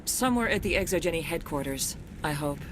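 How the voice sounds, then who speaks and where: A woman's voice: lively intonation, brisk. Earnest, determined middle-aged colonist mother. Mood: worried, determined. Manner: earnest, firm. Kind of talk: video game voice acting. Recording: noisy rumbling ambience behind voice.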